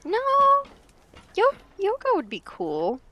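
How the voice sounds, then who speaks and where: Calm-voiced woman co-streamer. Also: sits high, slow. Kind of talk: casual chat on a game stream.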